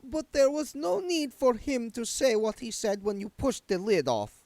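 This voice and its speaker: A man's voice: extremely high pitch, lively intonation, moderate pace, darkish tone, no echo, voice pushed up. A man voicing a haughty older woman, an affected, stiff vampire countess in a book read aloud. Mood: prim.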